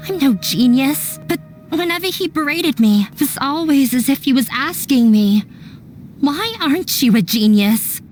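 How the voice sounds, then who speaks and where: A high-voiced, wounded young runaway daughter. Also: female voice, expressive, moderate pace, faint music behind. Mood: hurt, bitter. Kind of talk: anime game dub acting.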